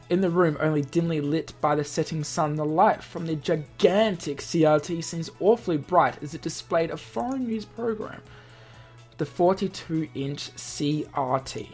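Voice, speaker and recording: A man's voice: fairly high pitch, darkish tone, husky. Man narrating a visual novel, music behind. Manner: stumbles and rereads lines, explains asides. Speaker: let's player reading a visual novel aloud. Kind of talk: game narration with casual asides.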